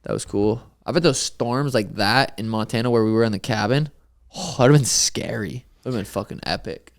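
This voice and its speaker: Podcast co-host: young guy, easy swearing storyteller, clean smooth voice, mid pitch. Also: fairly quick. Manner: loose, swearing storyteller, laughs often. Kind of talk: casual podcast chat.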